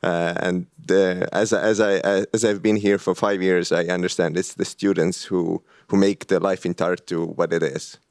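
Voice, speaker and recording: Low-voiced student, hesitant. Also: male voice, little pitch movement, moderate pace, few pauses, a little mellow, no room sound, clean studio sound. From Estonia. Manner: hesitant, many restarts and fillers. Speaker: master's student guest. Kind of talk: casual interview answers.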